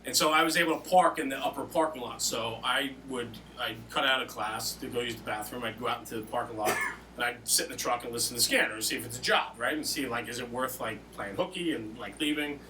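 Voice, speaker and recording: A man's voice: medium pitch, fast, far from the mic, music in the background. A man hosting an interview, telling personal anecdotes in a casual, colloquial way. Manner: casual, colloquial storytelling with reenacted dialogue. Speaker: podcast host and volunteer firefighter.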